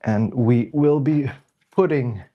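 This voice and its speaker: A young man with a bit of a cold hosts a relaxed livestream, talking as he works. He chats easily and greets viewers by name as they arrive, in a voice of ordinary middle pitch. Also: slow pace, muffled recording. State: sniffly. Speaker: livestream host. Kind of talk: casual live-stream chat while working.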